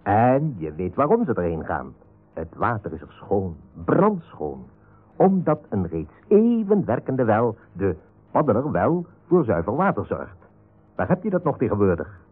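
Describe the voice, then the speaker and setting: An actor playing a wry, chatty storyteller, narrating a comic radio serial in a husky voice with playful asides. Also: male voice, fairly low pitch, big pitch swings, unhurried, exaggerated voice. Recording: tinny narrow-band radio recording.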